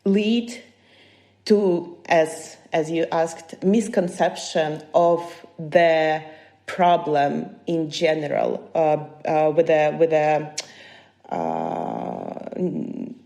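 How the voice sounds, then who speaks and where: A woman's voice: low voice, animated, extremely slow, creaky, warm tone. A woman with a Ukrainian accent speaking English as a second language, answering interview questions hesitantly, with many fillers and restarts. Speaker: guest, human rights defender. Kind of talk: podcast interview. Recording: echoey room.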